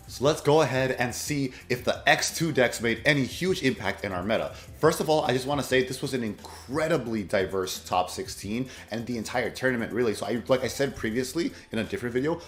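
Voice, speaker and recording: A hoarse man who has nearly lost his voice, rambling through casual commentary on card game tournament results as a content creator, with fillers and self-corrections. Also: on the low side, reverberant.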